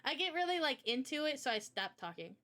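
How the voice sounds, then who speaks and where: A woman with a fairly high voice talks casually as a livestreamer. Her delivery is chatty and informal, full of everyday slang. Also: big pitch swings, brisk, pushed and bright. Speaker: live streamer and indie game developer.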